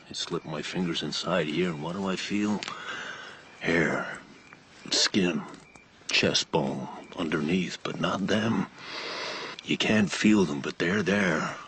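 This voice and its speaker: Deep, brooding American carnival drifter. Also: male voice, slow pace, a little hiss or hum. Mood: brooding, boastful. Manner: brooding, dramatic, with hushed dwelling on words. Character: bitter, drifting carnival man covered in living tattoos. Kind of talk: radio drama acting.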